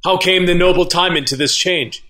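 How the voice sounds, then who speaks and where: An actor playing a warlike captain in a Shakespearean audio drama, speaking in a formal, urgent, commanding manner. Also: male voice, high voice, normal speed, bright, forceful tone. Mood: urgent, concerned. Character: warlike soldier captain, direct and questioning.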